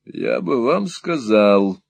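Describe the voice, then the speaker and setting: A cold, terse older moneylender who answers in dry, cutting remarks, as a man reading a story puts him on. The voice moves lively in pitch and sits in a dry, close recording. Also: slow, vocal fry, no room sound, exaggerated voice. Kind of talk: audiobook reading.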